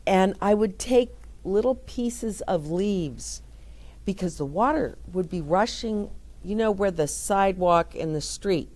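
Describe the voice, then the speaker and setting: Slow, lively storytelling woman. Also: fairly low pitch. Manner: gentle, encouraging, talks to young children. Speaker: children's storyteller. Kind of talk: read-aloud story time with chat to children.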